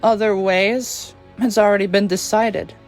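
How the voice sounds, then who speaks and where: A fan dubber voicing an excitable, loud young spirit companion in an anime fan dub, brash, eager and given to shouting. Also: mid-range voice, unhurried, soft background music, natural voice. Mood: indignant.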